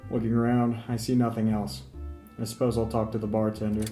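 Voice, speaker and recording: A man's voice: fairly low pitch, completely flat delivery, a little fast, dark tone, close to an everyday voice. A man playing an eager, self-pitying small-town police detective who talks to himself in an amateur noir radio drama. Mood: businesslike, observing. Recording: roomy echo, music behind.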